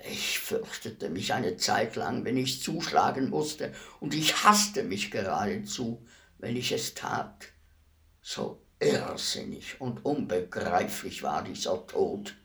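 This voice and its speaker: An actor playing a solemn, courteous executioner in a German radio play, speaking formally and deliberately. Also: male voice, fairly low pitch, lively intonation, gravelly, fairly bright tone, full character voice. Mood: reflective, solemn. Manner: formal, measured, deliberate delivery.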